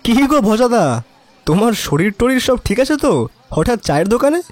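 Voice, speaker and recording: A man's voice: very high voice, very animated, moderate pace, dry recording. A man playing a curious, gossipy friend at a tea stall in an audio drama, chatty and inquisitive. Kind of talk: Bengali audio drama acting.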